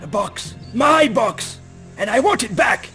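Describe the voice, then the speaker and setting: A surly old man with a strikingly high voice for a male. He is irritable and suspicious, grudging with every line, in a video game cutscene with faint music. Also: big pitch swings, a little slow, pushed and bright, full character voice. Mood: conspiratorial. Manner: gruff, dismissive, irritable.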